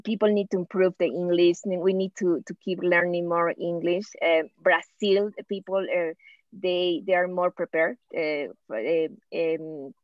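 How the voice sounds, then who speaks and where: Colombian woman, middle-aged, lower voice, halting English, dry muffled call audio. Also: fairly even intonation, no room sound. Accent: Colombian Spanish. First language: Spanish. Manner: halting, many restarts. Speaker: guest speaker. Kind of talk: casual talk on a video call. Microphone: video call.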